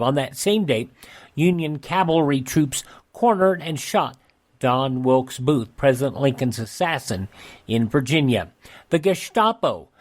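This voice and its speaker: A man hosting a radio show, reading a scripted narration of historical events. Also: on the high side.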